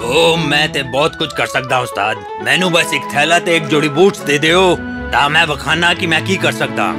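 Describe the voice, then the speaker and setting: Man acting a confident, high-voiced talking tomcat. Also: normal speed, over music, lightly acted. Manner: polite, self-assured, addresses others as master. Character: clever, confident talking male cat in boots. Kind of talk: children's fairy tale cartoon dub.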